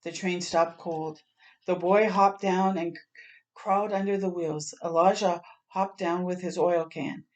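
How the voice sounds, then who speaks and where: A woman's voice: fairly low pitch, a little slow. A woman reading a picture book aloud to children as a storyteller, expressively, with asides to her listeners. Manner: expressive, acting out sound words and exclamations.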